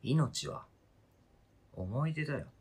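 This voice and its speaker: A man's voice: mid-range voice, lively intonation, takes it slowly, creaky, darkish tone, slightly rough, heavily acted. A man acting a stubborn, hurt partner in an amateur radio drama, questioning intensely and insistently. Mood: hurt, grave. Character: stubborn, hurt partner fiercely attached to an old toy.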